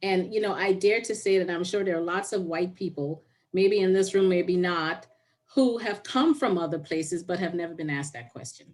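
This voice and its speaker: A woman with a lowish voice speaks earnestly over a video call. She presses her points with steady emphasis. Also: moderate pace. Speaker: participant.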